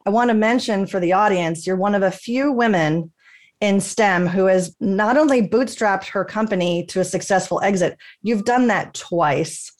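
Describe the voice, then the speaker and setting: A woman's voice: mid-range voice, moderate pace. A woman hosting a podcast interview, reading a scripted intro and then asking enthusiastic questions. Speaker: podcast host.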